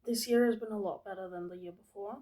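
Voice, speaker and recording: A woman's voice: mid-range voice, quick pace, dark tone. A 22-year-old woman from England, chatting casually to camera as a vlogger, rambling and hesitant with many fillers.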